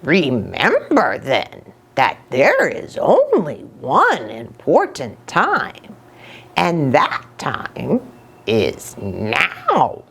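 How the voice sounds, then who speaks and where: Slow, very animated woman reading a storybook. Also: low-pitched, gravelly, slight background noise. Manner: animated, with short asides to young listeners. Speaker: children's storybook reader, pastor. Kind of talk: expressive read-aloud for young children.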